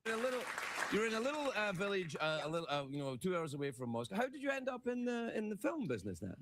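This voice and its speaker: Lively Scottish talk show host. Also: male voice, sits high, quick pace, crowd noise, background noise. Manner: joking, self-mocking, flustered banter. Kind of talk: late-night talk show interview. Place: TV studio.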